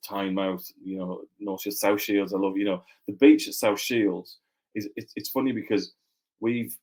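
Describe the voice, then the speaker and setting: A man with a low voice and a mixed northern English accent talks as a guest author. He rambles easily, doubling back on his words with plenty of fillers. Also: a little mellow. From Yorkshire, England. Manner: rambling, with many fillers and restarts. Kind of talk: casual interview chat.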